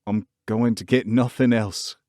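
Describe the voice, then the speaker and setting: A man's voice: on the low side, fairly even intonation, measured pace, a little mellow, dry recording, studio quality, lightly acted. A man reading the part of a frail, imperious young boy, earnest and commanding.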